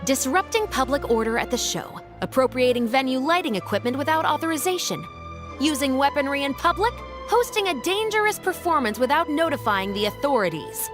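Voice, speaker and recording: A woman's voice: sits high, very animated, fairly quick. A woman voicing a stern, dutiful female patrol captain with a firm, official and dryly wry manner, in game voice acting. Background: game music.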